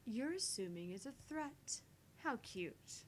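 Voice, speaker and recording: A woman voicing a sharp-tongued, sardonic heroine in a dry, taunting and theatrical read-aloud of a visual novel. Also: on the high side, big pitch swings, slow pace, a little hiss or hum, voice pushed up. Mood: mocking. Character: sharp-tongued sardonic woman narrating her thoughts, teasing and haughty.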